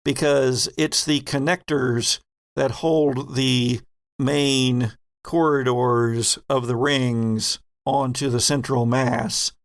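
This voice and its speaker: Unhurried man running a horror game. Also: mid-range voice, steady tone. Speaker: game master and host. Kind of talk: narrating a tabletop horror roleplaying game.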